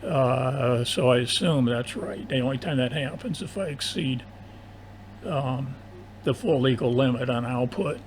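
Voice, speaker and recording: An American man in a casual, technical ham radio conversation, explaining in a halting, rambling way over an AM radio transmission. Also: medium pitch. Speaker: amateur radio operator. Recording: noisy AM shortwave radio transmission.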